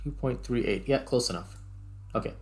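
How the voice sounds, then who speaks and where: A man's voice: mid-range voice, moderate pace, darkish tone. A man doing casual gameplay commentary, thinking aloud and talking through each step as he plays. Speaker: gaming video creator.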